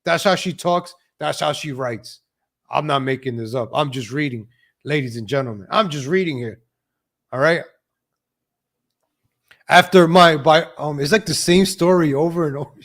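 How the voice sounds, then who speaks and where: A man's voice: mid-range voice, brisk. A man hosting a live stream of political gossip commentary, mocking and sarcastic, repeating phrases for emphasis.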